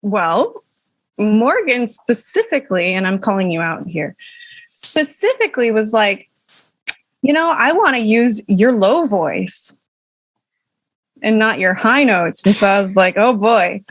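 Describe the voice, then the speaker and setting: A woman's voice: medium pitch, animated, unhurried, no room sound. A woman opera singer talking in a casual interview, wry and self-deprecating. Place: small room at home.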